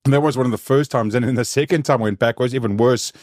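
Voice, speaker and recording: A man from South Africa with a softened South African accent, hosting a podcast and telling a story in a frank, rambling, profane way. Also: mid-range voice, very fast, dry recording, clean studio sound. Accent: South African, softened by living in New Zealand. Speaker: podcast host. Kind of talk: casual conversational storytelling.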